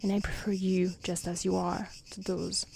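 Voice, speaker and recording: A woman voicing a kind, honest and polite young woman in a soft-spoken fairy tale reading, with an earnest manner. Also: lowish voice, level tone, moderate pace, gravelly, a little hiss or hum. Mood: kind, reassuring.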